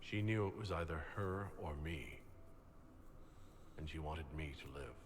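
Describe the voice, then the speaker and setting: Grave, subdued inquisitor acted by a male streamer for game roleplay; very low voice, earnest, faint noise. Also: unhurried. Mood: sorrowful. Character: quiet, grave inquisitor hero reading out replies.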